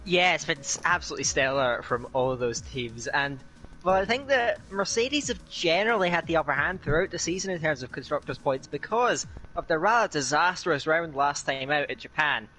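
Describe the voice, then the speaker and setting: Bright-voiced Scottish race commentator. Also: male voice, a little high, animated, poor audio. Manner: chatty, with frequent "uh" fillers and a quick laugh. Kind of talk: live sim racing commentary.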